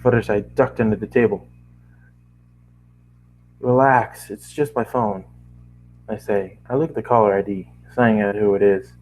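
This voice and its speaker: Male amateur narrator, fairly deep and relaxed, conversational first-person storytelling with retakes. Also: normal speed, pauses often, a little hiss or hum, rough recording. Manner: stumbles and re-reads lines. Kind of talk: first-person fan fiction reading, conversational.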